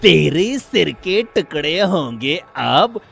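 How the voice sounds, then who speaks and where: A man voicing a menacing cartoon ghost who taunts in a drawn-out sing-song. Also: on the high side, big pitch swings, vocal fry, fairly bright tone, husky, full character voice. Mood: menacing, gloating. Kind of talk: exaggerated cartoon horror acting.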